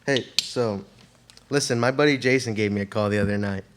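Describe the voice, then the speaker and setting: A man's voice: on the low side, a little fast, a bit bright, natural voice. A student actor playing an eager, easygoing male ex-treasure hunter and pilot in an amateur radio play. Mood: friendly. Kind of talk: amateur radio theater acting.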